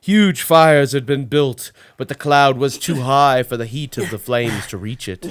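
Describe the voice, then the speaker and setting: A man reading a children's storybook aloud as an expressive narrator. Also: medium pitch, unhurried, clean tone, no room sound, studio quality. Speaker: storybook reader.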